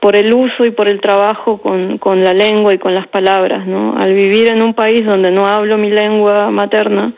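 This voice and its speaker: Woman writer in her forties, even and reflective, with fry in the voice, heard on muffled radio audio. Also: medium pitch, normal speed, few pauses. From Peru. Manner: reflective, often adds "¿no?" and repeats words. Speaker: writer, interview guest. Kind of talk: interview answers.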